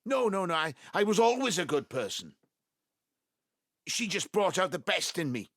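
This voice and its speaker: An actor playing an ageing Welsh patriarch in an audio drama, wounded, tender and defensive, with a halting, emotive delivery. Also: male voice, high voice, very animated, a bit bright, no room sound, clean studio sound, heavily acted. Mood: defensive, anguished. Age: 70s. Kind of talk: naturalistic audio drama acting.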